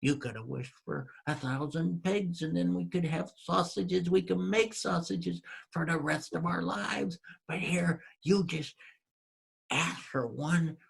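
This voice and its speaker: A man's voice: a little high, a little slow, vocal fry, slightly rough, pitched above the performer's own voice, full character voice. A man voicing a grumbling, exasperated peasant wife in a told folk tale, nagging and repeating herself. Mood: scolding. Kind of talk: storytelling character voice.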